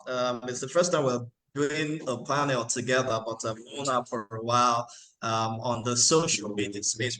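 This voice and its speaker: A man hosting an online panel talks in a loose, conversational way, padding his sentences with fillers and the odd joke. His voice sits in a middle pitch and comes through a video call. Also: a bit bright, rough recording. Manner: conversational, with fillers and light jokes. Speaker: webinar moderator.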